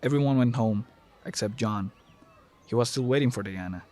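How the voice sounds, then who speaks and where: A man's voice: mid-range voice, moderate pace, a little mellow. A man reading scripted third-person narration for a dramatic audio story.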